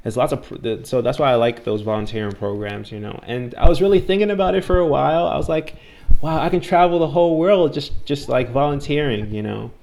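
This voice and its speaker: A man's voice: mid-range voice, brisk, gravelly. A man in his twenties tutoring English in a casual online conversation, talking loosely with lots of "like" and "you know". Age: 20s. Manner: relaxed, heavy use of "like" and "you know", frequent restarts.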